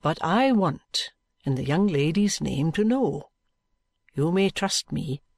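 A woman voicing an earnest, patient gentleman in an audiobook, with a gentle, deliberate, coaxing manner. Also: deep voice, lively intonation, vocal fry, no echo, exaggerated voice.

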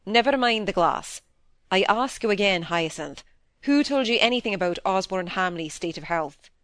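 A woman's voice: mid-range voice, animated, moderate pace, natural voice. Woman voicing a stern, commanding husband. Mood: stern, demanding. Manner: clipped, insistent, grave. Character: stern, commanding middle-aged husband, coldly interrogating.

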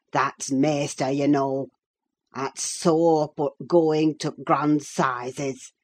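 A woman voicing a dour, rustic old male servant with a broad Yorkshire accent and slow dialect speech, in a storybook reading. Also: low-pitched, a little mellow.

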